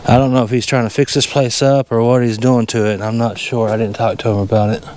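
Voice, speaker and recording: A middle-aged man speaks with a Southern US accent in a folksy, easygoing manner at a medium pitch. He narrates casually like an outdoor vlogger on an action camera. Clear noise runs behind the voice. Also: little pitch movement, fast talker, darkish tone. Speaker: metal detecting vlogger. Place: outdoors in open farm fields. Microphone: handheld or body-worn action camera.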